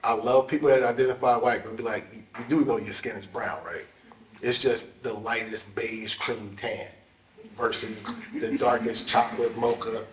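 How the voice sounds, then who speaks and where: A man's voice: mid-range voice, steady tone, moderate pace, slight background noise. A man in an informal panel talk, emphatic and plain-spoken, with preacherly asides. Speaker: dance scholar and panel speaker. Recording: echoey hall, distant mic.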